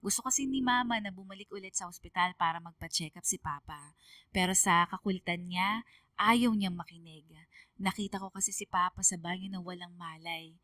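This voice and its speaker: A woman's voice: fairly low pitch, a little fast, dry recording, studio quality, distant mic. A woman with a Filipino accent narrating a radio drama story in the first person, sorrowful and emotive. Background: soft music.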